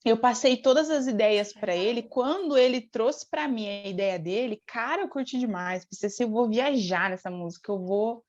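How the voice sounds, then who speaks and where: A Brazilian woman with a fairly high voice talks casually as a guest. She tells her stories in an animated, informal way. The sound is a little dull and lo-fi. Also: normal speed, hardly any pauses. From Brazil, born in Rio de Janeiro state, raised in Paraná from age eight. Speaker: singer, interview guest. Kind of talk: casual chat, storytelling.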